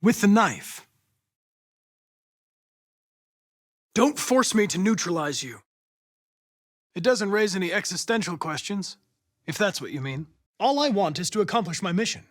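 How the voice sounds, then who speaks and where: A man's voice: high-pitched, animated, no echo, clean studio sound. A man voicing a young android police investigator in a video game, with an American accent and a precise, formal, mission-driven manner. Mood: composed, clinical. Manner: precise, clipped, formal, measured, insistent when pressed. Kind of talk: video game voice acting, isolated dramatic lines.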